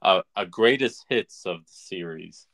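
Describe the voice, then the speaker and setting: A man co-hosting a podcast, chatting casually about movies in a laid-back, halting way. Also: low voice, fairly bright tone. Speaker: podcast co-host.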